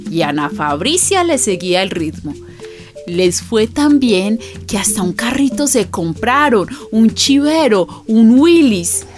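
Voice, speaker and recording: A woman's voice: on the high side, very animated, slow, soft background music. An actress playing an earthy, chatty Colombian woman narrating a radio drama, confiding and colloquial as she recalls a friend. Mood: fond, reminiscing.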